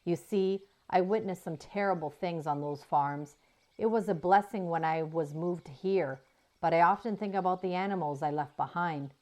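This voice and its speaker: A woman's voice: fairly low pitch, moderate pace, a little mellow, close to an everyday voice. A woman voicing a world-wise old female cow in a children's story, chiding and reflective. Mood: troubled, reflective.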